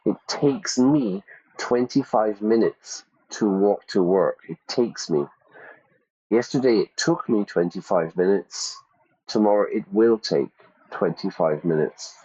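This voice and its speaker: Slow-talking male English teacher. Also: lowish voice, mellow, breathy edge, muffled recording. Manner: slow, stretched-out questions with repeated options. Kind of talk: ESL quiz lesson.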